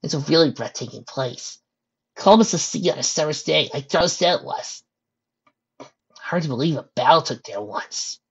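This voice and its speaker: A woman voicing a brash, teasing young female pony in a storybook reading, loud and cheeky. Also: deep voice, expressive, normal speed, creaky, husky, muffled recording, pitched above the performer's own voice, heavily acted. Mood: casual. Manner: loud, cheeky, drawling for emphasis.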